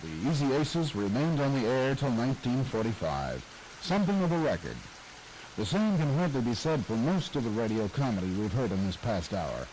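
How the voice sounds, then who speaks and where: A man giving scripted, measured narration about the history of radio. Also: medium pitch, very animated, a little mellow, a little hiss or hum, low-quality recording. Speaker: documentary narrator.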